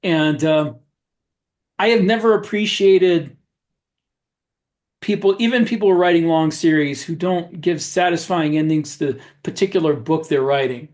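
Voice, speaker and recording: Higher-pitched man giving halting opinions. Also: darkish tone. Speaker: podcast co-host, author. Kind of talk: casual discussion of writing.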